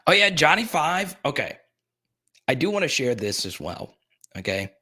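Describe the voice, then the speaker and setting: A man's voice: fairly high pitch, sing-song, normal speed, pushed and bright, breathy edge. A young man hosting a sports live stream, rambling casually while reading and answering viewers' chat comments in his plain, everyday voice.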